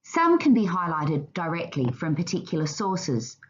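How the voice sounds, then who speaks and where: Woman, lowish voice, slightly dull recording, formal scripted presentation, measured delivery. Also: big pitch swings, husky. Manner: formal, measured reading aloud. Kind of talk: scripted slide presentation narration.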